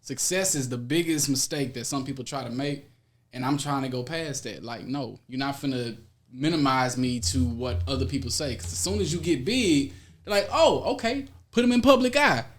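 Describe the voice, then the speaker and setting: A young American man chatting casually as a guest, slangy, emphatic and expressive in how he talks. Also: mid-range voice, normal speed. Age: young adult. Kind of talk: casual conversation.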